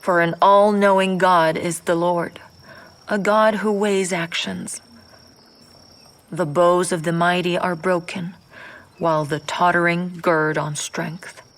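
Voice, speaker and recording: A woman's voice: lowish voice, level tone. A devout mother praying. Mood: exultant. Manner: solemn, measured recitation. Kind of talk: audio drama scripture reading. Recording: studio recording with soft music underneath.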